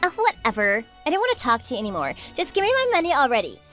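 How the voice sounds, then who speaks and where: A woman acts out a spoiled young sister-in-law in a story drama. She is haughty and whiny, pitched high, and makes her demands with open scorn over faint background music. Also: huge pitch swings, fast, fairly bright tone, full character voice. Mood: scornful, demanding. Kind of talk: dramatized story narration acting.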